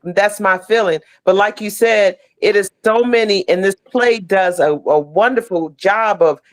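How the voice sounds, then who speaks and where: A woman's voice: mid-range voice, measured pace. A middle-aged American woman speaking as an interview guest on a video call, heartfelt and emphatic, often repeating phrases. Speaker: podcast guest, stage play cast member. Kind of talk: casual, heartfelt conversation.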